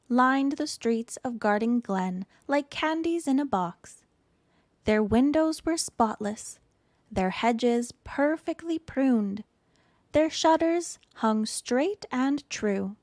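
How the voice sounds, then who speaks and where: A woman reading a children's picture book aloud in an expressive, deliberate storytelling manner. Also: high-pitched, dry recording, clean studio sound. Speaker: storytime reader.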